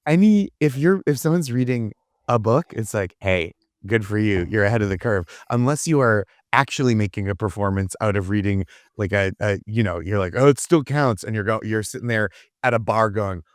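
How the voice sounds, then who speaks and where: A man riffing excitably in casual comic chat as a podcast panelist. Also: on the low side, fairly quick, no room sound, clean studio sound.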